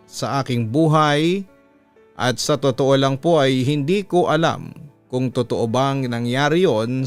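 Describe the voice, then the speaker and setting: A Filipino man with a smooth, resonant voice reads a horror letter like a radio storyteller. His delivery is deliberate and dramatic, close on the mic, with music playing softly underneath. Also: medium pitch. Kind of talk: dramatic reading of a listener's letter. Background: music bed.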